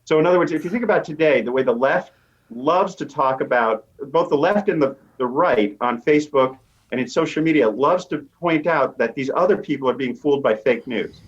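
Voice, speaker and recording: A man's voice: mid-range voice, fairly quick. A man speaking as an academic interview guest, explaining in an assured way with examples, on slightly lo-fi audio. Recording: dull, lo-fi audio.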